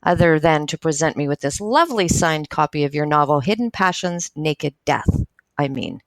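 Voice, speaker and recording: A brash small-town radio doctor hosts with a fairly low female voice. She is crude and showy in radio drama style, recorded dry. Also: animated, normal speed, dry recording. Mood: chirpy showmanship. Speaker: radio show host character.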